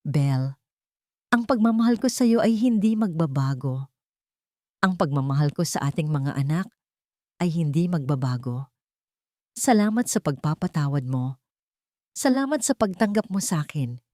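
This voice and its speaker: A woman's voice: low voice, lively intonation, normal speed, pauses often, darkish tone, no echo, studio quality. A woman voicing a devoted, emotional man, a groom and father making earnest, tender declarations in a romance drama. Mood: emotional, grateful.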